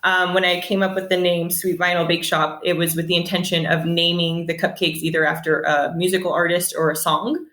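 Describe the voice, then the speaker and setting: A woman's voice: lowish voice, brisk, hardly any pauses, echoey room. An American woman in her thirties chatting casually as a podcast guest, telling stories and acting out bits of dialogue. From San Jose, California, United States. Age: 30s. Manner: chatty, animated quoting of dialogue, laughs often. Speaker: podcast guest, bakery owner. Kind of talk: casual conversational storytelling.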